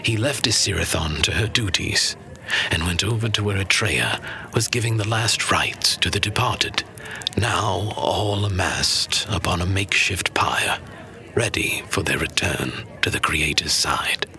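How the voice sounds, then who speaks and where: A man playing a knowing narrator of fantasy legends, grave, deliberate and dramatic, with a breathy voice. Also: deep voice, expressive, bright, forceful tone, faint music behind, full character voice. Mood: knowing, solemn. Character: solemn old-world male storyteller of legends, knowing. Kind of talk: scripted fantasy storytelling.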